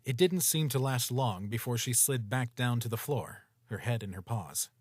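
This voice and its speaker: A man reading a story aloud in a steady, even storytelling manner. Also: mid-range voice, normal speed, slightly rough, no echo, clean studio sound. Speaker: narrator. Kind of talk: scripted story narration.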